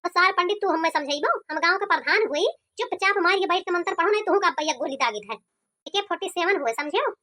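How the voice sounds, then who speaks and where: A woman's voice: very high-pitched, animated, a little fast, pushed and bright, heavily acted. A woman voicing a bullying, commanding village headman with an Awadhi accent in a cartoon comedy. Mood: threatening. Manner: commanding, threatening.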